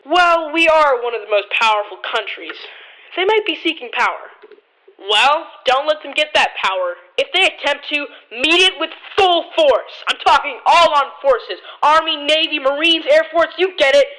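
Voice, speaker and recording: Audio drama commander acted by a woman, defiant and commanding, high-pitched with a sharp edge. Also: expressive, moderate pace, poor audio. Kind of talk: amateur audio drama acting.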